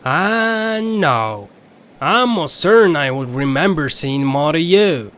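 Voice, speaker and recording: A man's voice: on the high side, expressive, slow pace, fairly bright tone, smooth. A man voicing a polite, folksy creature who captains a ferryboat, with a Southern US accent and genteel manner, in an audio story. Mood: welcoming, poised. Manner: courteous, apologetic, genteel.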